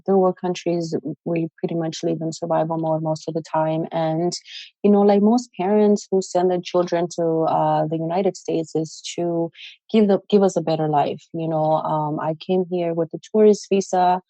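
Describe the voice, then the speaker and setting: Woman, late twenties, lowish voice, mellow tone, dry sound, lots of ums. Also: dry recording, dull audio. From El Salvador. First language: Spanish. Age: 20s. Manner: many fillers, earnest and plain-spoken. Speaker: podcast guest. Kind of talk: casual interview.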